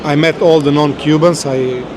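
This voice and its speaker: A man with a Serbian accent, a guest in a casual interview, speaking in a steady, measured way with some hesitation. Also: fairly high pitch, crowd noise. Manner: steady, measured, a little halting.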